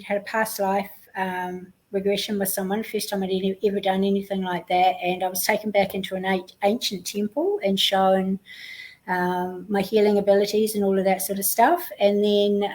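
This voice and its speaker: Middle-aged kiwi-born woman, guest on a chat show, soft and hesitant, lots of ums. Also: medium pitch, rough recording. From New Zealand. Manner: soft-spoken, hesitant with many fillers. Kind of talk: casual interview talk.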